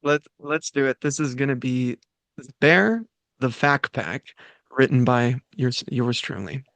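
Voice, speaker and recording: A man's voice: medium pitch, measured pace, creaky, fairly bright tone, dry recording. A man hosting a table read, moving between casual, playfully crude banter and reading out stage directions. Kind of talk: casual, joking group chat and script narration.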